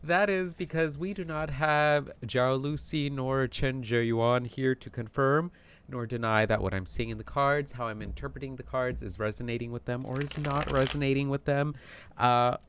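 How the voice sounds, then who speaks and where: A man hosting a casual tarot card reading, talking in an animated, chatty way with plenty of fillers, his voice tired from yelling. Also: mid-range voice, normal speed, a little hiss or hum. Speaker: tarot reader.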